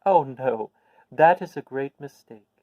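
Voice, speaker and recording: A man voicing a kindly little old woman, a good witch from a storybook, speaking in an emphatic, deliberate way. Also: mid-range voice, expressive, mellow, no echo, highs cut off, pitched above the performer's own voice. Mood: earnest explaining. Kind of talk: storybook character voice.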